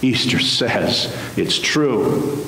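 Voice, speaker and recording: An American man, middle-aged or older, preaching a sermon as a pastor with measured, emphatic phrasing and pauses. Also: on the low side, big pitch swings, bright, forceful tone. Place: large church sanctuary, at the pulpit. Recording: very echoey church hall, faint hiss.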